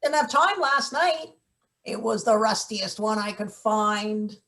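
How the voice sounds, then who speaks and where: Woman playing a deadpan, scheming maintenance worker. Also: mid-range voice, takes it slowly. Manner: deadpan, blunt. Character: gruff, paranoid, scheming female maintenance worker. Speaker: actor. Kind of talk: live table read of satirical play.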